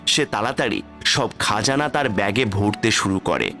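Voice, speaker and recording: A man narrating a cartoon fairy tale in steady, plain scripted storytelling. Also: on the low side, normal speed. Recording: faint background music. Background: faint music.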